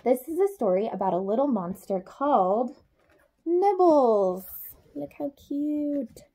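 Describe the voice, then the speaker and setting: A young adult woman reading a children's picture book aloud in an expressive way, speaking to young children. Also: high voice, slow, husky. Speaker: childcare supervisor reading a bedtime story.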